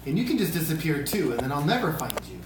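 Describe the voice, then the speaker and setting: A woman's voice: low-pitched, big pitch swings, fast. A woman playing a plaintive, protesting nine-year-old boy at an amateur script read. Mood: sulky. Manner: whiny, protesting. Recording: echoey room, distant mic, hiss.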